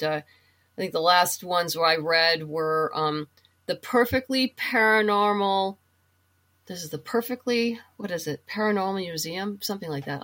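Low-pitched woman chatting casually. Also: fairly bright tone. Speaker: guest, comic book writer and novelist. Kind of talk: casual interview chat, giving reading recommendations.

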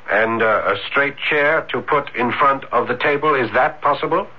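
An actor playing a tormented young student, earnest and intense, in a theatrical American radio drama style. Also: male voice, mid-range voice, measured pace, husky. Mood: timid, polite. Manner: intense, theatrical, hesitant at times. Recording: muffled vintage radio drama recording.

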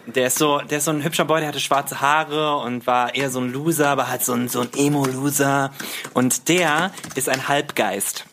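A man's voice: mid-range voice, steady tone, moderate pace, a bit bright, a little hiss or hum. A man as a podcast guest, bantering casually and playfully and dropping English slang into his colloquial speech.

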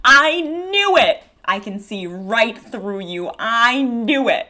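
A woman playing an excitable, teasing young woman in love, theatrical and gushing, in a stage script read-through. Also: fairly high pitch, takes it slowly, full character voice. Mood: triumphant.

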